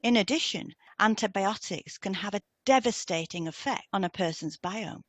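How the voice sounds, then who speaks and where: Measured woman presenter, dry close sound. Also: medium pitch, no echo. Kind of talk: scripted educational presentation.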